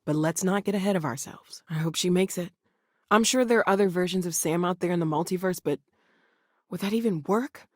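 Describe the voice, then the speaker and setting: A woman's voice: lowish voice, a little fast, no echo, studio quality, close to an everyday voice. A woman playing an earnest, brainy scientist in a video game, thoughtful and slightly hesitant, eager but anxious. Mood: worried, sad.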